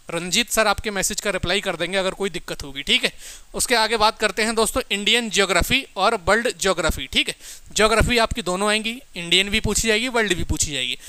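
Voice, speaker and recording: A man's voice: high-pitched, sharp tone, smooth, no echo. A man teaching exam aspirants in an informal lecture, emphatic and instructive, often checking in and addressing listeners as friends.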